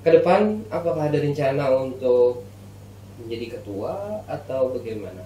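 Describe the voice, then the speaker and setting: A man, hosting a casual interview in halting short phrases. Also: mid-range voice, very animated, mellow. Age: early 40s. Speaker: podcast host. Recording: echoey room, distant mic, faint noise.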